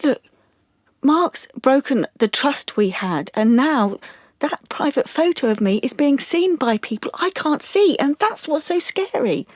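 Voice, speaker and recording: A young woman in an audio drama, anxious and wounded. She confides in a halting, stumbling way, guarded about herself. The sound is close and dry, with no room around her. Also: fairly high pitch, no room sound. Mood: upset, pleading. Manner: halting, confiding, with stammered restarts. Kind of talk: audio drama monologue and dialogue.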